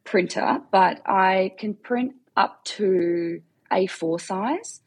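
A woman's voice: medium pitch, extremely slow, slightly rough. A woman, chatting as a podcast guest, talking freely with frequent fillers and easy laughter. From South Africa, raised in Australia. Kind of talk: casual interview chat.

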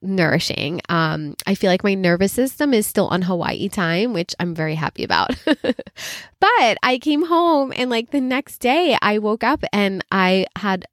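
A woman's voice: mid-range voice, expressive, unhurried, no room sound, clean studio sound. A woman in her thirties hosting her own podcast, talking casually in a chatty, upbeat, rambling way with a nasal, slightly scratchy voice from a cold. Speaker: podcast host. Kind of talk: casual solo podcast chat.

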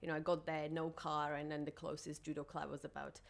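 A woman's voice: low voice, flat delivery, brisk, vocal fry. A woman in her thirties from Slovakia, chatting casually as a guest in an interview. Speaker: podcast guest.

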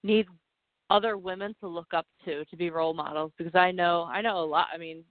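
A woman's voice: fairly low pitch, fairly even intonation, a little fast, no room sound, phone-quality sound. Chatty Philadelphian woman interviewer, phone sound. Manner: encouraging, chatty, many fillers. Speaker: podcast interviewer. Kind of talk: casual interview.

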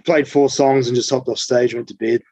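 A man, a musician guest telling tour stories in casual interview chat, relaxed and full of ums and the odd swear. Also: medium pitch, a little fast, no echo. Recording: slightly dull dry recording.

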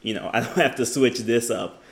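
A man co-hosting a casual debate podcast, talking with many fillers and restarts, growing animated when teased. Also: medium pitch, normal speed, vocal fry. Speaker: podcast co-host.

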